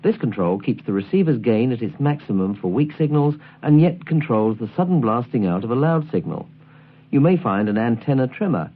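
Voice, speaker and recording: Man narrating, lively and slightly husky. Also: mid-range voice, brisk, narrow, tinny audio. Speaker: presenter of a shortwave listening guide. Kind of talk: scripted instructional narration.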